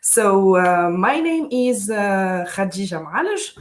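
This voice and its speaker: A young woman from Tunisia with a Tunisian accent, hosting a live online session in a halting way with frequent fillers. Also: mid-range voice, big pitch swings. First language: Tunisian Arabic. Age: young adult. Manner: halting, many fillers, switches between English, Arabic and French. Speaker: session host. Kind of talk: live online panel moderation.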